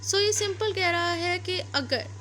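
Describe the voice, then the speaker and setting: High, bright-voiced Pakistani woman lecturing. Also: a little slow, slight background noise. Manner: repeats and rephrases points step by step. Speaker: law lecturer. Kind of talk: explanatory lecture mixing read-out English legal text with Urdu explanation.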